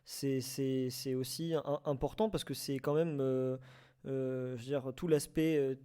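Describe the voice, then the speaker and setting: A man's voice: mid-range voice, fairly even intonation, unhurried, dark tone, smooth. A man hosting a casual live debate, hesitant, often repeating and rephrasing his words. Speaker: host of a live online debate. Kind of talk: casual unscripted debate on audio fiction.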